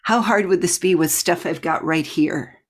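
A woman with a voice a little lower than most talks as a podcast interviewer. Her delivery is casual and conversational, like a writer chatting with another writer. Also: normal speed.

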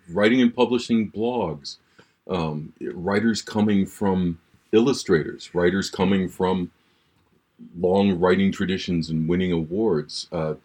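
A man with a very deep, big voice that has a husky edge. He chats on a podcast in a playful, self-deprecating way. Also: a little mellow. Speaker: podcast co-host, poet and emcee. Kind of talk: casual chat.

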